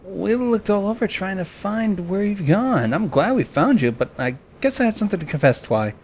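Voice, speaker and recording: A performer voicing an earnest young male dragon, helpful and a little exasperated, in an animated fan drama. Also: mid-range voice, moderate pace, a little hiss or hum, natural voice. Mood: earnest confession. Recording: music behind the voice. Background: background music.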